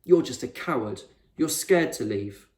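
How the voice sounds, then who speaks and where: A man's voice: mid-range voice, expressive, measured pace, a little mellow, lightly acted. A man voicing an anxious, stubborn young boy in a storybook, urgent, questioning and argumentative. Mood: angry. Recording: roomy echo, distant microphone.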